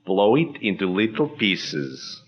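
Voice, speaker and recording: A cold, curt criminal boss. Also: male voice, fairly low pitch, big pitch swings, slow, muffled recording. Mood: menacing. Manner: curt, commanding, clipped orders. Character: cold, scheming male bank-robber boss. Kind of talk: 1940s radio acting.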